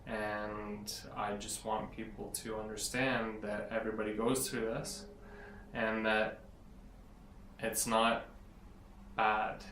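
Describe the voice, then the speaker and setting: A young man speaking in a low, fairly flat voice. He talks earnestly and wanders as he searches for words. He sounds a little distant from the mic, in an echoey room with faint background noise. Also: slow, long pauses. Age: 20s. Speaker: interviewee, blogger. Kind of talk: casual interview.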